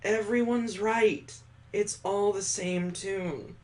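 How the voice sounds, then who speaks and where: Visual novel little girl, gentle and childlike, high soft voice male reader acting her out. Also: fairly even intonation, slow pace. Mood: quietly sure. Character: sweet little girl who loves singing.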